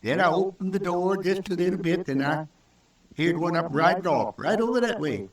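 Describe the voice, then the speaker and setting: A man's voice: high-pitched, lively intonation, normal speed. An actor playing a naive, earnest male newcomer to the frontier in an old radio drama, folksy and hesitant. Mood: anxious earnest. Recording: roomy old radio recording, distant from mic.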